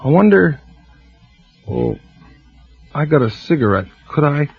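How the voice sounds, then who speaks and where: A haunted, fire-obsessed man in an old radio thriller, speaking in a slow, soft, weary confessional tone. The broadcast sound is muffled, with music faintly behind him. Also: fairly high pitch, lively intonation, vocal fry, husky, exaggerated voice. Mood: weary, remorseful. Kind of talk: 1940s radio acting.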